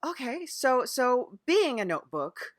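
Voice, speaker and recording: A woman's voice: high voice, very animated, measured pace, dry recording, clean studio sound. A woman interviewing on an improvised comedy podcast, playing along earnestly in a hesitant way, often repeating her phrases. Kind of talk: improvised mock-serious interview.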